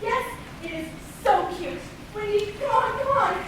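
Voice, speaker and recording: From far off in a noisy hall comes an excitable, doting mother , acted by a woman. Her very high voice swings about as she insists and frets in broad stage-comedy style. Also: unhurried. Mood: excited, coaxing. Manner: emphatic, insistent, theatrical. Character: doting, excitable, easily exasperated mother in a comic play. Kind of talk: projected amateur stage comedy acting. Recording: echoey hall, distant mic, noisy.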